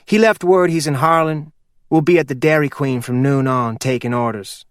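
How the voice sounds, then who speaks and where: A man voicing a quick-witted young woman from who talks bluntly and wryly. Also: on the high side, no room sound, studio quality, lightly acted. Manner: blunt, wry.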